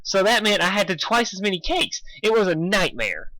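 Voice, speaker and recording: Man putting on a high, bubbly girl cartoon pony. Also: moderate pace, no echo, exaggerated voice. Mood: frantic. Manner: excitable, chattering. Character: bubbly hyperactive female cartoon pony party planner.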